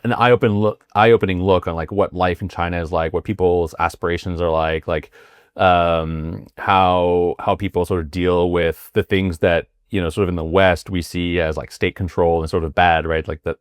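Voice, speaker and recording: A man hosting a podcast interview, asking questions in a halting way with many fillers and restarts. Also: deep voice, dry recording, studio quality. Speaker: podcast host. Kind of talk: casual interview with read ad intro.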